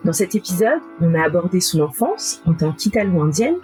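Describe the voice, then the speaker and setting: A woman's voice: fairly low pitch, very animated, moderate pace, mellow, soft background music. A woman hosting a podcast, upbeat and friendly as she introduces her guest and chats with her. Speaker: podcast host. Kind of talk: podcast hosting, scripted intro then casual chat.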